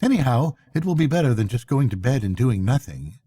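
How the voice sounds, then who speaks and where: A loyal little mole from a bedtime storybook, played by a man. The voice sits well up high and talks plainly and eagerly, with a good heart. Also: big pitch swings, fairly quick, dark tone, slightly rough, dry recording, clean studio sound. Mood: determined. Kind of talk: bedtime storybook character reading.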